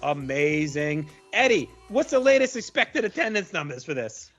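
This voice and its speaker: A man's voice: on the high side, very animated, moderate pace, a bit bright, faint music behind. A man playing a young, easily impressed video store clerk and wrestling fan in a comedic audio play.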